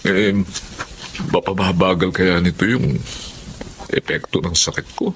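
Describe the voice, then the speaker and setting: An actor playing an older, ailing Filipino father who is secretive and devoted, speaking haltingly in a drama. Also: low-pitched, steady tone, background noise. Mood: heavy-hearted. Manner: halting, exclaiming, repeats words. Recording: music behind the dialogue.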